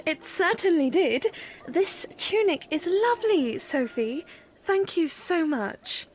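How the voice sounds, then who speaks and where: A woman playing a polite, gentle young princess, shy and genteel, in a fan audio drama. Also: very high-pitched, big pitch swings, slow pace, slight background noise, heavily acted. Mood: grateful.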